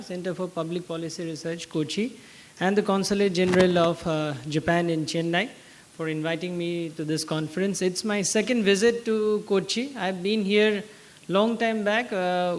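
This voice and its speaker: Middle-aged guy from assam giving a conference talk, high voice, lots of uhs and restarts. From Assam, Northeast India. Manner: frequent "uh" fillers and restarts, conversational anecdotes. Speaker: conference speaker, professor and dean. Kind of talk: academic conference presentation. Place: conference hall.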